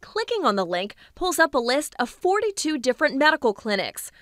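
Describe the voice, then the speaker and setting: A woman in her thirties narrating an investigative local news report in a measured, clear delivery. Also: high voice, big pitch swings, a bit bright, no echo, clean studio sound.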